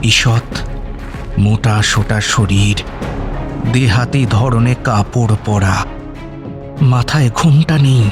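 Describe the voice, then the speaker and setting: A man narrating a horror audio story in a suspenseful, theatrical style with deliberate pauses. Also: medium pitch. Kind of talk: dramatic scripted horror narration. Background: background music.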